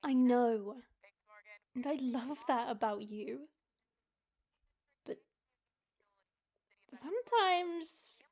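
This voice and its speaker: An actress playing an affectionate, feisty young wife in an audio roleplay, teasing and soft-hearted. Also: female voice, high voice, lively intonation, very slow, pauses often, exaggerated voice. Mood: tender.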